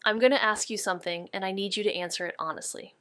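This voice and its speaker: An actress plays a young woman sleuth in a noir audio drama, recorded close and dry. She is sardonic and watchful, delivering narration and dialogue with a deadpan edge at a middling pitch. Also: fast, no room sound, natural voice. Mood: accusing. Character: wry, wary young woman amateur detective, dryly narrating.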